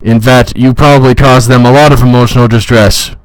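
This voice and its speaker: A man's voice: mid-range voice, steady tone, brisk, no room sound, rough recording. A man doing a comedic reading with sarcastic, mocking, profane asides. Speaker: comedic reader.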